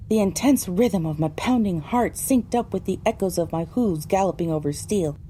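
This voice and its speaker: A woman's voice: medium pitch, warm tone, slight background noise. A woman delivering scripted, first-person narration for an audio drama.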